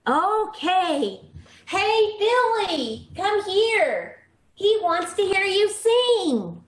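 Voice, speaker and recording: Very high-pitched eager little girl. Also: big pitch swings, extremely slow, full character voice. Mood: eager, coaxing. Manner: pestering, repeats questions. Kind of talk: old-time radio comedy acting. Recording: roomy video-call sound.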